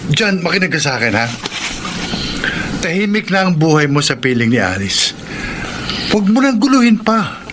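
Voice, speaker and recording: An actor playing a frank, exasperated friend who advises in a scolding, insistent way in a Filipino radio drama. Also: male voice, a little high, sing-song, moderate pace, long pauses. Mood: insistent, cautioning. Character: frank, exasperated male friend giving advice. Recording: noisy radio drama recording.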